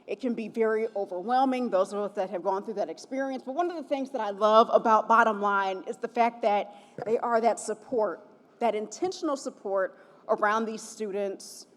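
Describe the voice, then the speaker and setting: A woman's voice: medium pitch, moderate pace. A young woman from Chicago, a TV reporter, hosting a gala and cheering on the crowd with call-and-response. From Chicago, USA. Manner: upbeat, rallies the audience with call-and-response. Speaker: event host, TV reporter. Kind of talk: gala emcee speech. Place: large event hall. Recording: long hall reverb from event PA.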